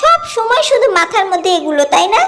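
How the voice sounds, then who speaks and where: Actor as a high-voiced, scolding village mother. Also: sing-song, sharp tone, heavily acted. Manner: brisk, nagging. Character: impatient, scolding village mother of a young girl. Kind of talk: cartoon story dialogue. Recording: background music.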